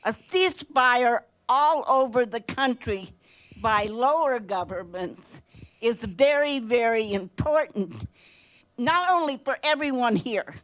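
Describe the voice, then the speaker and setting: An older woman with a fairly high voice addresses a public meeting. She speaks very slowly and earnestly, pressing her points in short, emphatic phrases. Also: lively intonation, fairly bright tone. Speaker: public commenter at a council meeting. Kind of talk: impassioned public comment speech.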